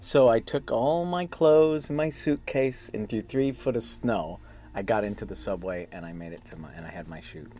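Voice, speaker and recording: A man's voice: on the low side, normal speed, mellow. A man, an actor being interviewed, talking in an anecdotal storytelling manner. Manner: storytelling, acts out quotes. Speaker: actor interviewed about his part.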